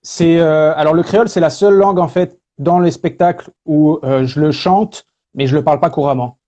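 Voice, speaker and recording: A man from France talking casually as an interview guest, relaxed and full of hesitation fillers. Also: a little high, a little fast, highs cut off. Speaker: guest, speaker and singer.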